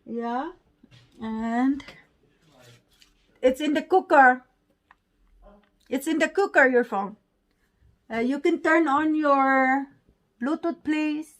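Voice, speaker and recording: A woman's voice: high-pitched, takes it slowly, long pauses. A woman with a Filipino accent chatting casually in non-native English as she presents an unboxing vlog. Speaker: vlogger.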